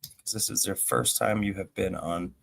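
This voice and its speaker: A man's voice: low voice, fairly even intonation, normal speed, gravelly, a bit bright. A man talking sports as a co-host on a video call, in a conversational way with rhetorical questions thrown in. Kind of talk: casual sports discussion over video call.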